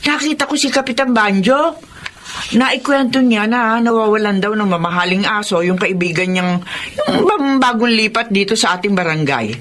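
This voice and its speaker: A woman's voice: mid-range voice, normal speed, a bit bright, close to an everyday voice. An actress with a Filipino accent playing a kind, motherly woman in a radio drama. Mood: explaining, concerned. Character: Lota, kind motherly woman pleading for others. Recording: some noise and ambience behind.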